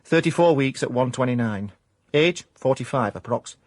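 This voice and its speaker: Actor as deadpan South Yorkshire male detective. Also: mid-range voice, moderate pace, no echo, lightly acted. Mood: deadpan brooding. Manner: deadpan, pompous hard-boiled narration. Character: self-important, deadpan amateur private detective, ex-miner. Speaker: lead actor and narrator. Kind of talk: comic radio drama acting.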